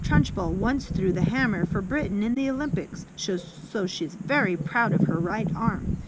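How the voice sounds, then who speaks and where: A woman's voice: mid-range voice, lively intonation, normal speed, lightly acted. Casting: female reader as grandiose, boastful school veteran from a children's storybook, theatrical delivery, heavy noise on the recording. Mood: dramatic. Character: dramatic older schoolchild, battle-worn, boastful storyteller. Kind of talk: children's book read-aloud. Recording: loud noise throughout.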